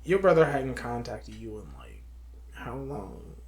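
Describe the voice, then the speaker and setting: A man co-hosting a casual movie review, opinionated and emphatic, halting with pauses as he talks and reads from notes. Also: medium pitch, huge pitch swings, roomy sound. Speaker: show co-host.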